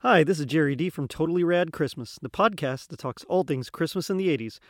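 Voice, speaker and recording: A man's voice: on the high side, fairly quick, no echo, studio quality. A man reading a scripted story aloud as a guest narrator. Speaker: guest reader and podcaster.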